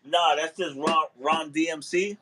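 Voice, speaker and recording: A man from the Bronx hosting a casual video chat from his living room sofa. He talks in a relaxed way, repeats and restarts his phrases, and laughs easily. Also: fairly high pitch, unhurried, crowd noise. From the Bronx, New York. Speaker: host.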